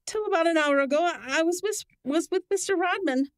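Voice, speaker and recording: A woman playing a shaken, bitter, grieving young woman, dazed and halting, in an old-time radio drama style. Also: very high-pitched, no echo, clean studio sound.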